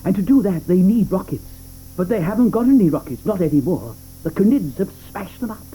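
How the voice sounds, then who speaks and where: An actor playing an eccentric, excitable male chocolatier inventor, stammering and flustered with many "uh"s, in a 1980s studio radio play. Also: high voice, brisk, slightly rough, exaggerated voice, poor audio. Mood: urgent.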